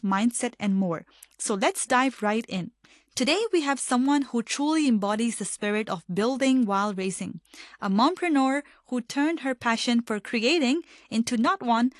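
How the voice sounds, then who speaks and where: A woman's voice: a little high, no echo, clean studio sound. A woman from Hong Kong hosting a radio interview, reading upbeat scripted introductions and asking friendly questions. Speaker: radio host.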